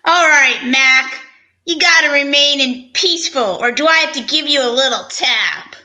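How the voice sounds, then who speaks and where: A woman's voice: high-pitched, normal speed, bright, forceful tone. A woman playing a tough, wisecracking detective's sidekick in an old-time radio drama. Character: tough, wisecracking sidekick who nabs crooks.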